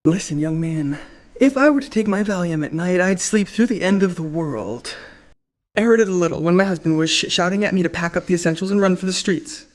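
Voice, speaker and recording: An actress playing a spiteful, gossipy middle-aged wife in a crime radio play, scornful and sarcastic. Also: female voice, on the low side, animated, fairly quick, darkish tone, close to an everyday voice. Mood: sardonic.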